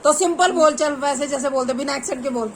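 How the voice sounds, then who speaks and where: Lady bossing the family around, high voice, a bit of hiss behind. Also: quick pace. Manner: bossy, sets the rules. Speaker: family vlogger. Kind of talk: casual family banter. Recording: noisy home recording.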